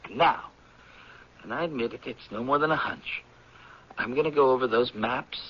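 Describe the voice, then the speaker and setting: An American actor playing an eager, idealistic anthropology professor in a 1970s radio drama, with an earnest, persuasive delivery and a husky voice. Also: male voice, medium pitch, close to an everyday voice. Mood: excited, persuasive. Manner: earnest, persuasive, deliberate when reading aloud. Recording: dull, lo-fi vintage radio recording.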